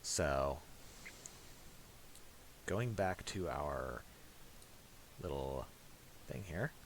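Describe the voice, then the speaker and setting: A man talking through a software tutorial casually, thinking aloud in a hesitant, piecemeal way. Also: rumbling low. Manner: hesitant, halting, piecemeal delivery. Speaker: tutorial presenter. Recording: steady hiss and clicking noise.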